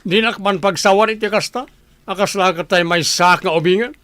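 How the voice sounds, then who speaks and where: A man's voice: high-pitched, fairly quick, pushed and bright, no echo. A man playing a stern, materialistic middle-aged father in a Filipino radio comedy drama. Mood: stern, pushy. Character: stern, materialistic father pushing his daughter to marry rich.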